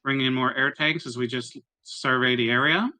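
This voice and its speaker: A man in a tabletop roleplaying game, speaking casually in character, blunt but often pausing to think. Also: medium pitch, steady tone, pushed and bright.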